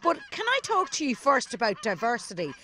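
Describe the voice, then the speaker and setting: An Irish woman radio presenter asks questions with a very lively, animated pitch. She is recorded out on a farm with faint outdoor noise and geese honking behind her. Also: fairly quick. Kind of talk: radio interview.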